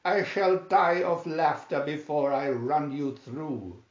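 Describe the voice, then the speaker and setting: A man's voice: fairly high pitch, slow, echoey room. A man voicing a furious, boastful army commandant in a storybook, barking and scornful, in a dull room recording. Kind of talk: storybook character voice.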